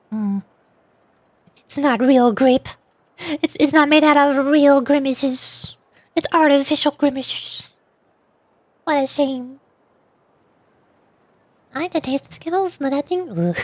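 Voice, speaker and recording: A woman's voice: sits high, normal speed, dry recording. A woman livestreamer chatting casually with viewers while gaming, in a playful, rambling way. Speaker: livestreamer (VTuber) playing and voicing a game.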